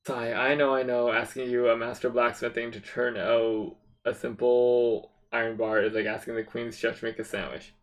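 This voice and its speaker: A man's voice: mid-range voice, level tone, moderate pace, few pauses, lightly acted. A man reading game dialogue aloud as a wry, chatty quest-giver from a fantasy town.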